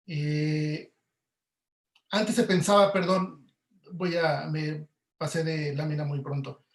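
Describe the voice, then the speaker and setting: A Mexican man giving an informal webinar lecture, explaining politely and a bit haltingly over a video call. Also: a little high, little pitch movement. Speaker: sound artist giving a talk. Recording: roomy, echoey video call audio.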